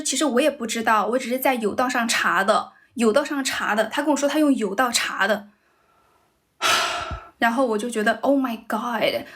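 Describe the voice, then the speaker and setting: A young woman from China hosts a casual English-practice livestream, speaking English with a Chinese accent that slips toward American and British sounds. Her voice sits a little high, and she talks in a loose, chatty way, often restarting sentences and pausing to thank viewers. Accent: Chinese, mixed with American and British.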